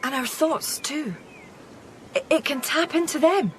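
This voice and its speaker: A woman playing an anguished, defiant grieving mother in an audio drama, sharp-tongued and emotional. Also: high voice, lively intonation, unhurried, a little hiss or hum. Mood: questioning. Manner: emotional, searching, challenging.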